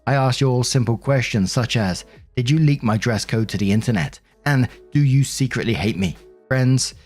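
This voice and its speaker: A man's voice: medium pitch, a little mellow, natural voice. A man reading out the posts of an indignant, bossy bride in a self-righteous, emphatic manner. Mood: self-satisfied. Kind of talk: dramatic reading of social media posts.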